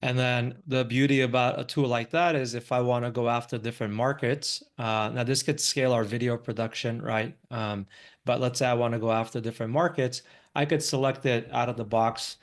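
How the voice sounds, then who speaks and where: An American man speaks as an investor giving a live, informal talk. His voice sits at an average pitch. He explains things conversationally and often checks that listeners follow. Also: fairly even intonation, a little fast. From Los Angeles, United States. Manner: conversational, frequently checks in with "right?". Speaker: venture capital investor giving a presentation. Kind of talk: informal live startup presentation.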